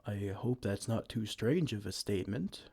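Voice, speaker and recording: A man voicing a kind, gentle male giant who cares for a tiny human, speaking softly and soothingly in an ASMR roleplay. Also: lowish voice, lively intonation, normal speed, creaky, husky, exaggerated voice. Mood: tender. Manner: soft-spoken, soothing, tender pet names.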